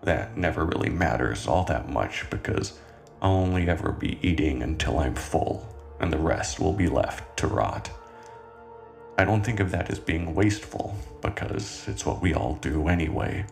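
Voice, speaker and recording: Man acting a deep, gravelly cannibal killer. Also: full character voice. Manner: brooding, deliberate, measured with dramatic pauses. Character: calm, self-justifying cannibal killer, a man, morbidly philosophical. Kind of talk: scripted horror monologue, dramatic narration.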